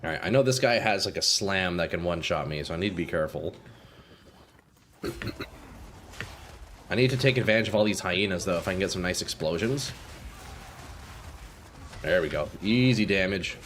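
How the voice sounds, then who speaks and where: A young man doing casual live commentary while playing a game, a bit congested and clearing his throat often, swearing and talking to chat. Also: low-pitched, lively intonation, normal speed, pauses often, a bit bright, a little hiss or hum. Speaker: game streamer.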